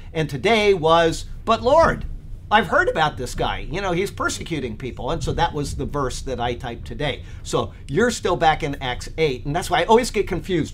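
A man's voice: on the high side, slight background noise. A man teaching a Bible study in a loose, conversational way, rambling with frequent fillers and restarts. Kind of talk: casual Bible study talk.

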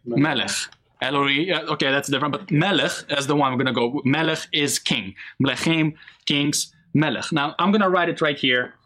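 Animated man teaching, bright voice. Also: on the high side, normal speed. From Israel. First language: Hebrew. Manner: animated, asks the audience questions. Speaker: Bible teacher. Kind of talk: classroom lesson with a live audience.

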